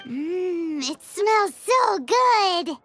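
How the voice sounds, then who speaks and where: A woman voicing a tiny, chirpy, cheeky fairy companion with a squeaky childlike voice in a video game. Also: lively intonation, extremely slow, a bit bright, soft background music, heavily acted. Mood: delighted. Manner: refers to self in third person, exclaiming.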